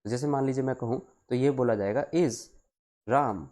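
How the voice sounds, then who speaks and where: A man teaching a live online class, explaining deliberately, repeating key phrases and switching between Hindi and English as he addresses his students. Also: medium pitch, warm tone.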